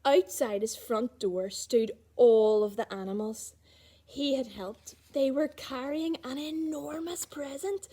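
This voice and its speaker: A woman's voice: high-pitched, slow, mellow. A woman reading a children's picture book aloud as storyteller, with little asides to young listeners about the pictures.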